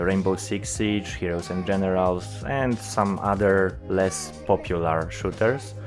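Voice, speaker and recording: Young Polish-accented gamer, deep and unhurried, hesitant and full of fillers, music underneath. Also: male voice. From Poland. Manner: hesitant, many filler sounds. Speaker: gaming YouTuber. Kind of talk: game update commentary.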